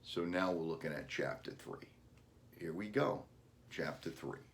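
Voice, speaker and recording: A man with a deliberate manner, an author reading a storybook aloud for children. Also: low-pitched. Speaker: author reading own book.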